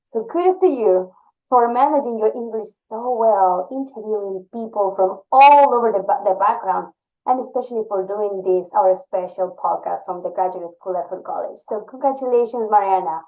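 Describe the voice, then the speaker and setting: A woman from Ecuador, a native Spanish speaker, talks as a guest on a college podcast. Her voice sits at a middling pitch, and her English is earnest, with small slips and restarts. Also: moderate pace, mellow, poor audio. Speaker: assistant director of graduate admission.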